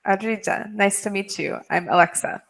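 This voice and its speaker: An American woman with a mid-pitched voice chats easily with a student. She laughs often between her sentences and keeps a relaxed, friendly pace. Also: moderate pace, creaky. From California, USA. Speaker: English tutor. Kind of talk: casual video-call chat. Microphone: video call.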